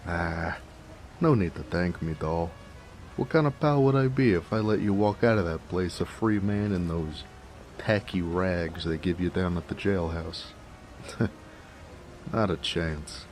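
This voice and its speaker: An actor playing a jovial, affectionate big 1920s mobster with an American accent, in a folksy, teasing noir audio drama. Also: male voice, low voice, a little slow, a little mellow, slight background noise. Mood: warm, teasing. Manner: folksy, teasing, chatty, chuckling. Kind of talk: noir romance audio roleplay, 1920s gangster slang. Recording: faint rain ambience behind voice. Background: rain.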